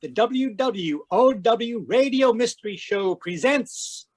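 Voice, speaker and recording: A man's voice: extremely high pitch, expressive, takes it slowly, full character voice. A man announcing an old-time radio mystery show in a grand, declaiming style over a video call. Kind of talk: 1940s radio acting.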